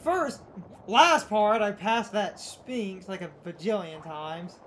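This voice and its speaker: A woman's voice: medium pitch, big pitch swings, slow, a little hiss or hum. A woman giving casual gaming commentary in a blunt, opinionated way. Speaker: co-host of a let's play series.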